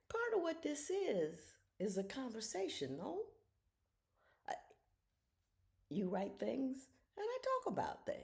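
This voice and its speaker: Gravelly woman in her sixties, lively. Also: medium pitch. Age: 60s. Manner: frank, folksy, drops into soft half-whispered asides. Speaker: retired judge giving life advice. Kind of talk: casual reflective talk to viewers. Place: home in the early morning. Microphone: camera she leans toward.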